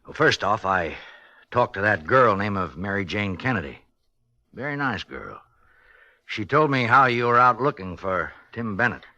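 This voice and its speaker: An actor playing a wry, world-weary small-town sheriff in a 1950s radio drama, folksy, dry and admonishing. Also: male voice, fairly low pitch, very animated, normal speed, sharp tone, no echo, full character voice. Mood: wry, calm. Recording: dull old 1950s radio recording.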